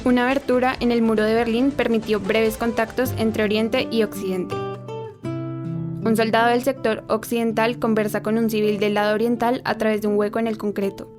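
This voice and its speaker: A woman playing a solemn radio news presenter, narrating a scripted report in a formal, even tone. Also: on the high side, moderate pace, over music, close to an everyday voice.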